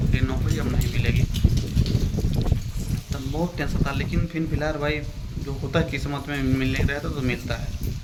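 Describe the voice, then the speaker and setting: A young man speaks. He talks casually to his viewers, circling back and repeating himself as he offers brotherly advice. Clear ambient noise runs behind his voice. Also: medium pitch. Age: young adult. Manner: rambling, repetitive, frequently addressing listeners as brother. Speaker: vlogger, police recruit.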